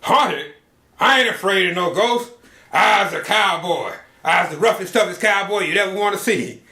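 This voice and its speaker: A man doing a loud bragging cowboy. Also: high voice, moderate pace, roomy sound. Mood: boastful. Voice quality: loud, swaggering drawl. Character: loud boastful rough cowboy.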